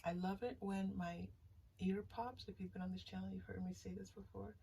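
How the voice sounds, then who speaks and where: A woman hosting a casual tarot reading, speaking to listeners directly in a soothing, reassuring way and haltingly thinking aloud. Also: mid-range voice, steady tone, vocal fry, dark tone, slightly rough. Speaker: tarot reader and channel host. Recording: distant mic, faint background noise.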